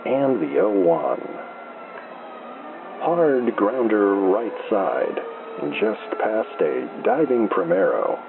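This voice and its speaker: A man playing an unflappable small-town baseball radio announcer, calling play-by-play in an unhurried deadpan over a noisy, thin AM broadcast. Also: on the low side, gravelly, phone-quality sound, exaggerated voice. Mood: calm, matter-of-fact. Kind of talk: slow old-time baseball radio play-by-play.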